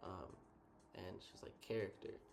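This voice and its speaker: A man co-hosting a casual reflective chat, thinking out loud in a laid-back, slangy way. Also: sits low, unhurried, creaky. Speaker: co-host.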